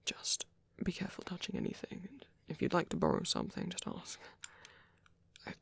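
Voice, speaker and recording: Casting: male actor as a tender, reclusive vampire priest for ASMR roleplay; very husky, heavy fry, hushed and reassuring. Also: sits high, wildly swooping pitch, brisk, no echo, exaggerated voice. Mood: gently cautioning. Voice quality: breathy, soft, often dropping to a whisper. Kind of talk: ASMR roleplay, soft intimate monologue.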